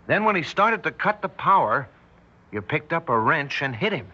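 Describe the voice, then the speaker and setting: An actor playing a shaken, anxious scientist recounting a horror in urgent, halting 1960s radio drama style. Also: male voice, fairly high pitch, big pitch swings, sharp tone, exaggerated voice. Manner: urgent, haunted, halting delivery. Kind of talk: 1960s radio drama acting, narration and dialogue. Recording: dull vintage 1960s radio broadcast.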